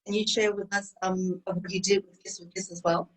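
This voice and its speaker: A woman's voice: mid-range voice, flat delivery, fairly quick, rough recording. A woman hosting a webinar over a video call, posing questions in a chatty way with many fillers. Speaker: webinar host. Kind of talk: moderating questions.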